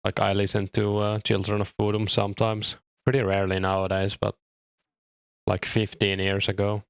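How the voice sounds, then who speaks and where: Low flat Finnish-accented young man. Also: moderate pace, creaky, clear, resonant tone, no echo, highs cut off. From Finland. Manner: relaxed, hesitant, thinks aloud. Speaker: game streamer. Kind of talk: casual chat reading viewer comments.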